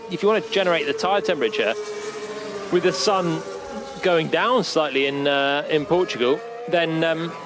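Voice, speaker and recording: A man giving live motor racing commentary and analysis. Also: a little high.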